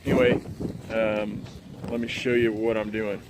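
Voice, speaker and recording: A man's voice: lowish voice, little pitch movement, unhurried, noisy background. A man hosting a casual, unscripted DIY car install walkthrough, explaining informally and in a rambling way. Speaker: DIY car video host. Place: in and around a car.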